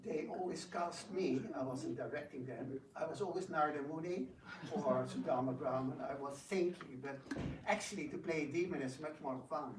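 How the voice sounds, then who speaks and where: A man with a slightly high voice speaks informally to a group, some way from the mic in an echoey room. He tells stories in an animated way, often repeating words as he searches for the next one. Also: normal speed, hardly any pauses. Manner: animated storyteller, acts out quotes, hesitant repetitions. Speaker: devotional speaker and drama director taking questions. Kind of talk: informal spiritual talk with questions. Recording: echoey room, distant mic, faint noise.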